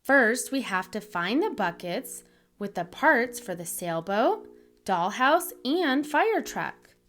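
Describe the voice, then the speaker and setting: Lady doing a can-do little boy hero for storytime, high voice, slow n bouncy. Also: very animated, a bit bright, soft background music, full character voice. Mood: reassuring. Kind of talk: children's storybook read-aloud.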